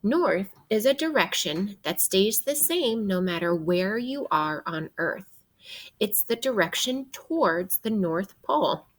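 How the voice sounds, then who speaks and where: Husky woman reading aloud, slow and expressive. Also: mid-range voice. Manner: expressive, guiding with questions and pauses for young listeners. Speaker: teacher reading aloud to children. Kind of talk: interactive read-aloud of a children's nonfiction book.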